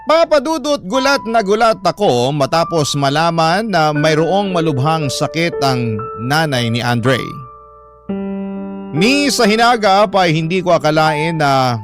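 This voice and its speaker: A man's voice: on the high side, expressive, measured pace. A man acting as a sorrowful letter writer, narrating a radio drama in an emotive, heartfelt way. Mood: shocked, sympathetic. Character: sorrowful letter writer recounting a love story. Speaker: story narrator reading a letter. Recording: music bed clearly behind narration.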